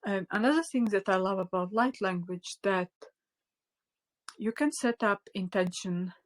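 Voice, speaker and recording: A woman whose first language is Latvian, leading a live online spiritual class. She talks unscripted at a middle pitch, soft and halting, full of fillers and restarts, at times sinking to a near whisper. The sound comes from a phone mic. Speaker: spiritual teacher.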